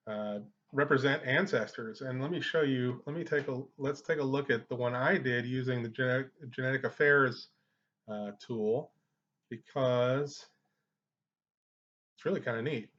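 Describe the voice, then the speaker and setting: A man's voice: mid-range voice, unhurried, fairly bright tone. A man presenting an informal screen-share tutorial, explaining things in a conversational way. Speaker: genealogy tutorial host. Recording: dull lo-fi microphone sound.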